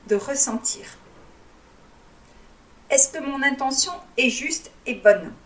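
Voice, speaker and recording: A woman whose first language is Arabic, speaking as a life coach and guiding listeners through a reflection in a calm, teaching way with frequent hesitations. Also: a little high, roomy sound, distant mic, low-quality recording. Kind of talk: guided reflection talk.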